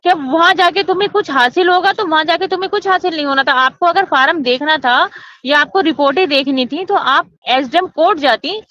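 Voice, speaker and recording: A woman's voice: sits high, brisk, a bit bright. A woman from arguing insistently on a phone call, scolding and talking over the other person. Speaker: agent handling housing forms. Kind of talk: heated phone argument.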